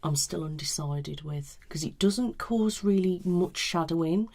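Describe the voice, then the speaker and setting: A woman giving a soft-spoken ASMR consultation in an unhurried murmur that slips in and out of a whisper. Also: on the low side. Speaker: colour analysis consultant.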